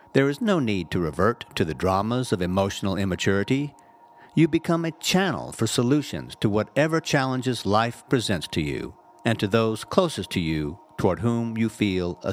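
A man reading a scripted inspirational message as a reverend, in a measured, earnest and carefully phrased way. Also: fairly low pitch, a little hiss or hum. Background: background music.

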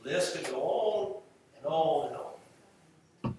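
A man with a Southern US accent preaching a sermon emphatically, repeating phrases and pausing for effect. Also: fairly high pitch, lively intonation, warm tone. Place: large echoey room, preaching to a congregation. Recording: echoey room, distant mic, faint noise.

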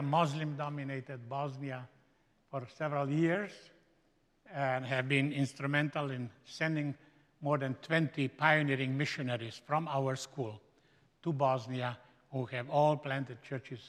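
A man with a Slovenian accent, a guest speaker giving a slow, emphatic sermon in a seminary chapel. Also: mid-range voice, fairly bright tone. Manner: slow, emphatic preaching with stretched-out phrases. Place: large chapel. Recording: echoey hall with long reverb.

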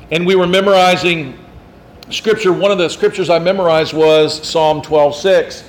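A man preaching a sermon as pastor, in a conversational way, asking the congregation questions and teasing them. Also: on the high side.

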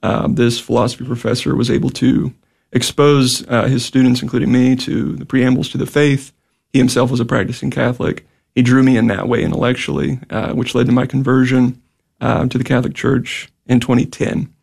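A man from the Houston area, a guest on a radio interview, speaking in a measured way with some fillers. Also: medium pitch, steady tone, creaky, darkish tone, husky. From Houston area, Texas. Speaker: guest, school vice president. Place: radio studio.

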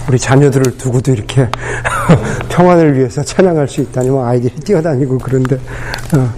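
A man preaching a sermon as pastor, his voice hoarse from a cold, talking unhurriedly with many pauses and fillers. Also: mid-range voice, background noise.

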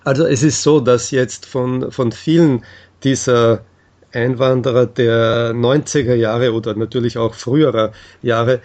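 Man, interviewee, explanatory conversation, halting and measured, many hesitations, medium pitch. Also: fairly even intonation, a little mellow.

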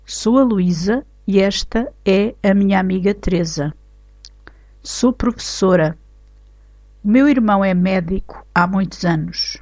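A woman with a European Portuguese accent reading example sentences aloud in a slow, careful, clearly articulated way for a language lesson. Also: medium pitch, a little mellow. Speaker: language teacher. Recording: slightly dull lo-fi recording.

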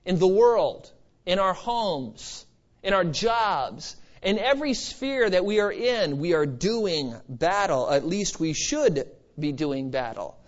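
A slightly muffled, lo-fi recording of a man preaching. His voice sits high for a man. He exhorts emphatically, mixing scripture teaching with conversational asides. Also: lively intonation, slow pace. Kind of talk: expository sermon. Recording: slightly dull, lo-fi sermon recording.

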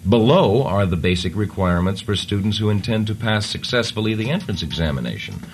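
A man on a radio comedy show reading a stilted text aloud in a deadpan, mock-formal way, chuckling now and then. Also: on the low side, a little fast, a little hiss or hum.

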